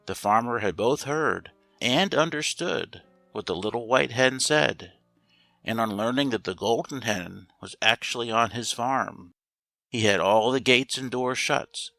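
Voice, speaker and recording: A man reads a fairy tale in a measured, expressive storytelling style. His voice is bright with a noticeable fry, set in a roomy, echoing space with light music behind it. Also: mid-range voice. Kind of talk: scripted fairy-tale narration.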